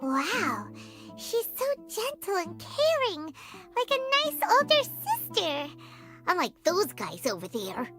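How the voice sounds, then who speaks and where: An extremely high, squeaky childlike fairy for a video game. The little companion is cheeky and exclamatory, takes an unhurried pace, and has clear music playing behind. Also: female voice, huge pitch swings, bright, forceful tone, heavily acted. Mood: chirpy. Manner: exclamatory, refers to self in third person.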